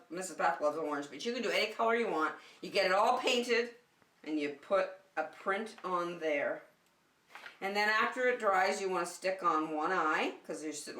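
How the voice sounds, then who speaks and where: A woman's voice: medium pitch, sharp tone. A woman leading children's circle time, talking simply and encouragingly to young children as a teacher does. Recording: roomy, echoey, distant microphone.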